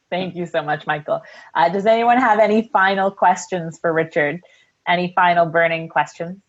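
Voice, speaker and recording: A woman's voice: lowish voice, normal speed, highs cut off. A woman hosting a video call event, graciously inviting questions and thanking speakers. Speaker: event host and moderator.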